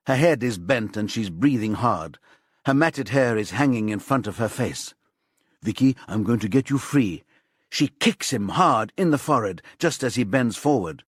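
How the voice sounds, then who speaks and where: Male narrator for a thriller audiobook: measured, suspenseful delivery, medium pitch, dry studio-like sound. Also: no room sound.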